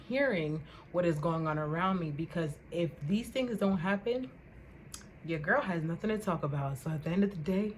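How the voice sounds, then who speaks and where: A young woman vlogger with a loud voice, ranting casually to the camera in an animated, indignant way and repeating words for emphasis. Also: lowish voice, slight background noise, poor audio.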